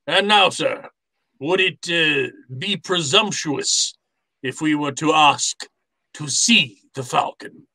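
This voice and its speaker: An actor playing a jovial, cunning older crime boss in a radio drama, speaking with florid, formal politeness. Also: male voice, fairly high pitch, expressive, slow, creaky, sharp tone, dry recording, heavily acted, poor audio. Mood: smooth, explanatory. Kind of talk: old-time radio drama acting.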